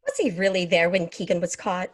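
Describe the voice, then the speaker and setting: A woman's voice: on the low side, lively intonation, a little fast. A woman playing a curious, slangy waxworks visitor in an old-time radio drama. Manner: slangy, inquisitive. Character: curious, wide-eyed female waxworks visitor.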